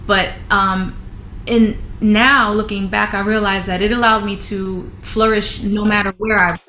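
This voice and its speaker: A woman from North Philadelphia answers interview questions in a reflective, meandering storyteller's way, leaning on fillers as she thinks aloud. Her voice sits at an ordinary middle pitch, and the room around her is echoey, with a faint hum underneath. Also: a bit bright. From North Philadelphia, Puerto Rican family. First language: English. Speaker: guest singer and trumpet player. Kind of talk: casual interview talk.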